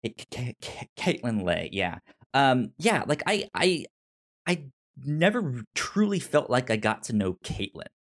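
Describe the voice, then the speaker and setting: A man's voice: medium pitch, sing-song, a little slow. A man hosting a podcast in a casual review discussion, often stammering and repeating words as he feels his way through a thought. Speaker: podcast host.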